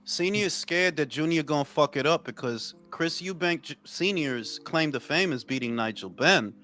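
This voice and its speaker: High-voiced new dude ranting about boxing, swings up and down a lot, faint music under it. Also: male voice, moderate pace. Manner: blunt, profane, animated ranting. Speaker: podcast host. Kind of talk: casual boxing talk.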